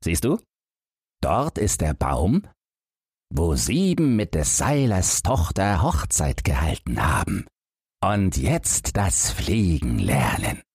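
Storybook passer-by, played by a man: sly, knowing, very husky. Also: low voice, sing-song, slow pace, darkish tone, no room sound, clean studio sound, heavily acted. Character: sly male passer-by offering grim advice.

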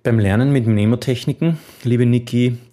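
A man's voice: medium pitch, moderate pace, mellow. A man coaching on memory techniques, answering a viewer's question in an earnest, encouraging way with occasional restarts.

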